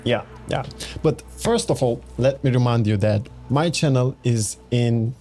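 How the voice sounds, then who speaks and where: A man talks through a game build on his channel, unhurried and easygoing. His voice is soft and mellow in tone. He circles back, restarts sentences and fills gaps with "you know what I mean", over faint background music. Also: medium pitch. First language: Turkish. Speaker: gaming channel host. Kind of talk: casual game build walkthrough.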